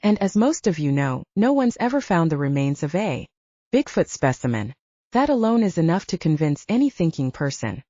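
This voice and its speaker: Mellow, academic male professor , read by a woman. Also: deep voice, very animated, no echo, dull audio. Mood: coolly certain. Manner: academic, measured. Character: male professor, brainy debunking lecturer, coolly certain. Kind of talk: audiobook character dialogue.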